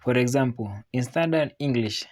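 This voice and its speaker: A narrator delivers a scripted explainer at a medium pitch. The reading is measured and informative in style, set out in clear statements. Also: darkish tone.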